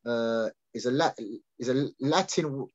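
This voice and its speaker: A man speaks over a video call, circling back with fillers and restarts as he explains. His voice sits at an ordinary middle pitch. The call audio is muffled. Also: unhurried, darkish tone. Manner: hesitant, many restarts and fillers. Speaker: guest speaker.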